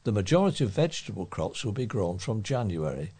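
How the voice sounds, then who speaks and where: Lower-pitched man reading local news. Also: big pitch swings, quick pace, dark tone, slightly rough. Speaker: volunteer local news reader. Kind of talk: read-aloud local newspaper report.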